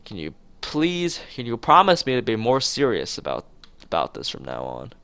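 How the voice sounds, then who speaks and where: A man's voice: mid-range voice, wildly swooping pitch, normal speed, gravelly, sharp tone, smooth, heavily acted. A man voicing a stern but cheerful male school nurse in a visual novel, firm and lecturing. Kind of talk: visual novel dialogue read aloud.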